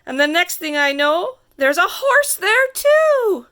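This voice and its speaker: A woman reading a rhyming picture book aloud to children in a theatrical, sing-song way with drawn-out emphasis. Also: very high voice, sing-song, pushed and bright. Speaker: storybook reader.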